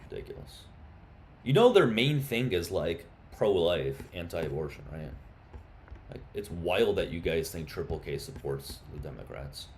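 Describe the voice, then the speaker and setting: A man with a lowish voice runs a casual live stream, greeting viewers and taking callers. He talks in an easy, chatty way but gets heated and insistent when he argues. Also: very animated, normal speed, darkish tone, slight background noise. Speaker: livestream host.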